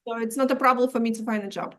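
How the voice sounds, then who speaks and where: A woman from Ukraine, explaining astrology as an interview guest, halting, with many restarts and dropped articles. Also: on the high side, steady tone.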